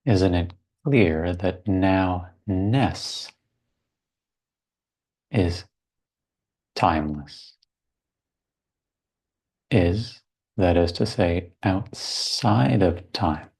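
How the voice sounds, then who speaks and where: A man with a low, husky voice guides a meditation at an extremely slow pace. He delivers short, contemplative phrases and leaves long silences between them, with a creaky edge to his tone. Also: darkish tone.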